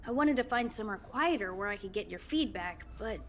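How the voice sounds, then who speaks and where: A woman's voice: medium pitch, expressive, fairly quick, fairly bright tone, soft background music. An actress playing a busy, apologetic, kind young woman working on a space station in a video game, speaking earnestly and politely. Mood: flustered, apologetic. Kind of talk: scripted video game dialogue.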